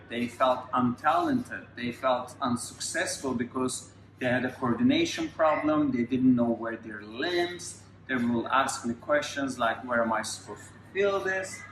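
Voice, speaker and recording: A man's voice: medium pitch, fairly bright tone. A man from Turkey with a Turkish accent, a Pilates educator who explains things earnestly and step by step. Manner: earnest, explains step by step with small grammar slips. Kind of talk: casual interview. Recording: echoey room with faint noise.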